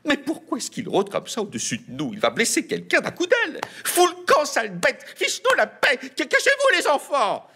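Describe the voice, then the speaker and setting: A man voicing a fretful, scolding mother flower in a storybook reading, shrill and fussing. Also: wildly swooping pitch, normal speed, a bit bright, slightly rough, heavily acted. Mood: panicked.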